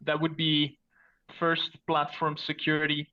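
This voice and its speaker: A man's voice: on the high side, monotone, measured pace, pushed and bright, lo-fi sound. A man from Belgium, a guest on a tech podcast, explaining things in an earnest way with frequent "so" and "basically". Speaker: podcast guest, security architect. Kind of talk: casual technical interview.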